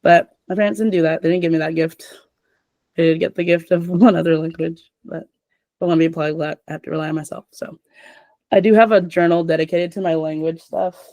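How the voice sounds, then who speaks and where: A woman's voice: lowish voice, steady tone, fairly quick, mellow, no room sound. A young adult woman hosting a casual chat, rambling with lots of filler words.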